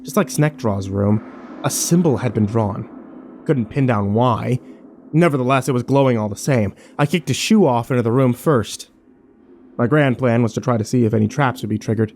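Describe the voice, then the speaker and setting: A man's voice: medium pitch, normal speed, a little mellow, slight background noise, natural voice. A man playing a troubled, wary survivor who narrates his ordeal in a brooding first-person horror drama.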